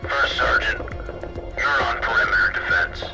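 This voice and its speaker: A man's voice: medium pitch, normal speed, muffled recording, close to an everyday voice. A man playing a decisive, resolute military lieutenant in a sci-fi radio drama, giving terse, authoritative orders. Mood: firm command. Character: commanding male military lieutenant, decisive and resolute under fire. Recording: distant voice under loud music.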